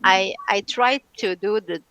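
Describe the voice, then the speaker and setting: A woman's voice: medium pitch, a little slow, sharp tone, clear, resonant tone, no echo, low-quality recording. A woman whose first language is French, practising English in casual conversation and hesitating often as she searches for words. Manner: hesitant, many fillers and restarts while searching for words. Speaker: English learner.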